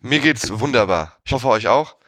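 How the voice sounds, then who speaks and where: A man's voice: mid-range voice, expressive, moderate pace, fairly bright tone. A man joining a casual podcast over a remote line, upbeat and brief in his replies. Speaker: podcast co-host. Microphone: remote call.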